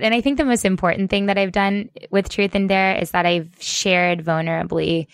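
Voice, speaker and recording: A woman's voice: on the low side, a bit bright, smooth, no room sound. A woman co-hosting a podcast, talking candidly and reflectively, with many fillers. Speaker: podcast co-host. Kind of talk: casual podcast chat.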